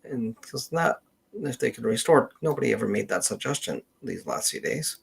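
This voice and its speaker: A man hosting a casual, unscripted live stream, speaking in a halting, hesitant way. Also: mid-range voice, expressive, gravelly, slightly rough. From Canada. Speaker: genealogist live stream host.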